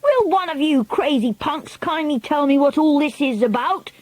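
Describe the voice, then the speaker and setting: A woman's voice: high voice, very animated, normal speed, no echo, pitched above the performer's own voice. A woman voicing a rude, greedy, spoiled boy in a storybook reading, with a whining, demanding manner.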